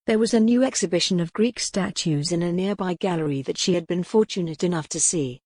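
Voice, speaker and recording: A woman's voice: fairly low pitch, a little fast, darkish tone, no echo, studio quality. A woman reading scripted, dramatic narration for a historical romance audiobook.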